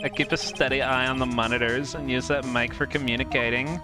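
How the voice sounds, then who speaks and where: A man's voice: mid-range voice, fairly even intonation, a little fast, natural voice. A man voicing a laid-back, boastful security guard in a video game dub, breezy and self-assured. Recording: game music behind the voice.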